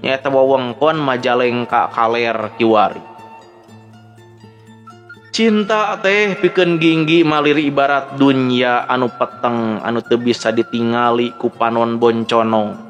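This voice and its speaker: A man with a Sundanese accent speaking as a storyteller and host, in an expressive, conversational way. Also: medium pitch, measured pace, lo-fi sound. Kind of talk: dramatic Sundanese folk-tale narration and listener greetings. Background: background music.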